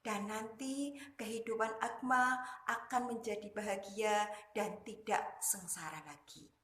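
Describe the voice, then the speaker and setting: A woman telling a children's story like a kindergarten teacher, deliberately, in short emphatic phrases. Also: fairly high pitch, fairly bright tone. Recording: echoey room.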